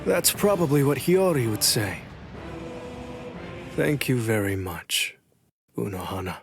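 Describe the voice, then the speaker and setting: Slow, gravelly, wry anime man. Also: mid-range voice, sing-song. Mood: wry, grateful. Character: wry, subdued man, grateful but gruff. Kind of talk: anime game cutscene acting. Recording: loud music behind.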